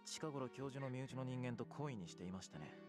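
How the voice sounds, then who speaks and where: Man acting a calm, rapid-talking interrogator. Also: medium pitch, lightly acted. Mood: calm, probing. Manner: calm, stiff, probing. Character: calm, stiff male patient probing like a detective. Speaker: voice actor in a visual novel. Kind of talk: Japanese visual novel acting. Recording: guitar music behind the voice.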